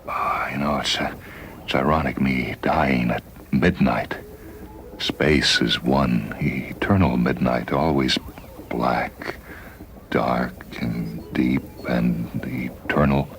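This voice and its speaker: A man playing a weary, dying spaceman in a radio story, halting and breathy, fading toward whispers. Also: rumbling low, fairly even intonation, a bit bright, slight background noise, voice pushed down, exaggerated voice. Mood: weary, wistful. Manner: halting, repeats words.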